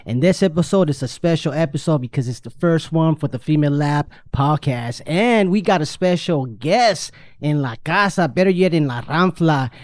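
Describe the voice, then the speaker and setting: A man hosting a podcast from inside a car, easygoing and sprinkling Spanish words into his talk. His voice sits a little high. The sound is dry and close with no room echo. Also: moderate pace, few pauses. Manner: relaxed, drops Spanish words into English. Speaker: podcast host. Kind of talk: casual podcast interview.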